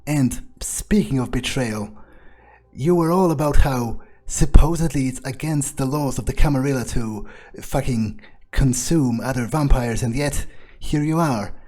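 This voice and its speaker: A man's voice: mid-range voice, unhurried, warm tone. A man roleplaying his character while playing a game, defiant and swearing freely. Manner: defiant, swears, mocking laughs.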